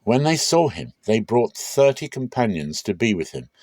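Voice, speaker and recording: A man narrating a dramatic reading of scripture in a solemn, deliberate and expressive storytelling style. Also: mid-range voice, dry recording.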